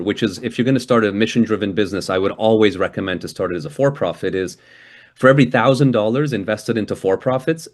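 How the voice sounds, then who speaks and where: A man from Hungary giving a guest lecture and answering questions over a video call, conversational, with frequent restarts and a habit of tagging "right?" onto his points. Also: lowish voice. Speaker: guest speaker.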